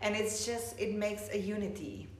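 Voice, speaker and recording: A woman chatting as a guest, softly and haltingly. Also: medium pitch, steady tone, slight background noise. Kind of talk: casual conversation. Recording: roomy echo.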